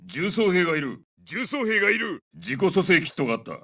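Forceful, commanding special-forces soldier. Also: male voice, high voice, huge pitch swings, fairly quick, recorded from a distance, full character voice. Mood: focused, businesslike. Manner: clipped, authoritative. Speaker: game character voice lines. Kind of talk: terse military callouts.

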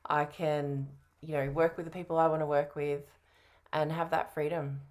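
A woman's voice: low-pitched, flat delivery, moderate pace, a little mellow. A woman in her thirties or forties chatting casually and a little hesitantly as a client on a video call. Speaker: prospective client in a sales roleplay. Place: bright home room, video call.

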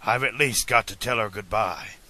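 A man's voice: fairly low pitch, moderate pace, sharp tone. A man voicing an eccentric, stubborn, passionate older inventor in an audiobook, speaking in a formal, declamatory way. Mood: sad, stubborn. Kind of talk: audiobook character dialogue.

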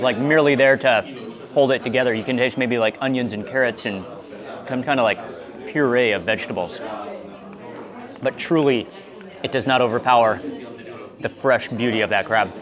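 A man in his thirties excitedly narrating a seafood meal for a food vlog, savoring each bite with hums and exclamations, recorded on a small clip mic in a restaurant. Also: mid-range voice, moderate pace, pauses often. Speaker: food vlogger. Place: restaurant dining room and outdoor grill. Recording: busy restaurant crowd behind. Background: restaurant crowd chatter.

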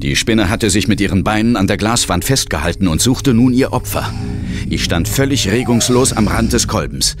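A man's voice: lowish voice, normal speed, natural voice. An actor as a tense male ghost hunter, narrating his ordeal in the first person with suspense. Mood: hushed suspense. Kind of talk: suspenseful scripted horror narration. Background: loud dramatic music and effects.

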